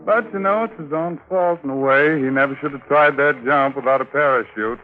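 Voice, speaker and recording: An actor playing a ghoulish horror host, droll and mock-sympathetic, narrating tongue-in-cheek 1940s radio. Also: male voice, medium pitch, fairly quick, natural voice. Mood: mock sorrow. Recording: muffled 1940s radio with music.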